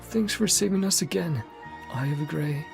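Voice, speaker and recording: A woman's voice: sits low, normal speed, vocal fry. A woman doing casual let's-play commentary, playfully reacting in short murmurs and reading game text aloud. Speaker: gaming streamer. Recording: game music under the voice. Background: video game music.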